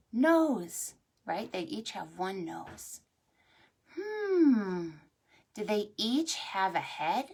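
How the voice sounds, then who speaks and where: A woman hosting a preschool storytime, reading aloud and chatting to young children in an expressive, sing-song way. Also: medium pitch. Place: at home or indoors, livestreamed.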